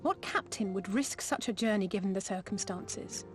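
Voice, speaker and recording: A blunt young adventurer from a video game, played by a woman, with a medium female pitch. She sounds sceptical and direct, and light music plays under the voice. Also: moderate pace, lightly acted. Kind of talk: video game character acting.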